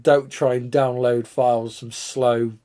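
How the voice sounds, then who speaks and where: A man hosts a live stream, still a bit congested and coughing from a cold. He rambles and thinks out loud while he tinkers, poking fun at his own disorganisation, and his voice now and then drifts back from the mic. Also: medium pitch, level tone, unhurried. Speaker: retro computer channel host. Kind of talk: casual live stream chat.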